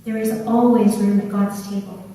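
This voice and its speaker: A woman leading a church service as worship leader, reading calmly and steadily. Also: mid-range voice, normal speed. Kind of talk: liturgical reading. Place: church sanctuary. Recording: echoey church from far off.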